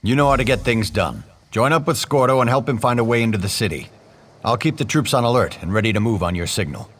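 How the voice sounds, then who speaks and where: A man's voice: medium pitch, fast talker, fairly bright tone, a little hiss or hum, natural voice. An actor playing a hot-tempered male nobleman who gives blunt, commanding orders.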